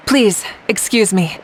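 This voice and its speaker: A woman playing a commanding, serene female leader in a video game, speaking in a measured, stately and declamatory way. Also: medium pitch, a bit bright, a little hiss or hum. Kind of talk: dramatic game voice acting.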